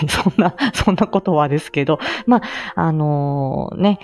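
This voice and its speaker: A woman's voice: sits low, unhurried, a little mellow. A woman hosting a casual solo livestream chat, rambling along in a self-effacing, giggly manner. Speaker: livestream host, speech-language therapist.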